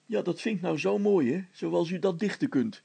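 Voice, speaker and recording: A man's voice: high voice, lively intonation, normal speed, creaky, dark tone, slightly rough, heavily acted. A man voicing an eager, simple, respectful male helper in a story, plain in his way of talking. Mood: admiring.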